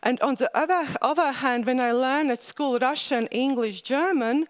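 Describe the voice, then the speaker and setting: High-voiced woman. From Latvia. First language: Latvian. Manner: halting non-native phrasing, frequent "uh" pauses. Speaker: conference speaker. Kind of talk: academic conference presentation.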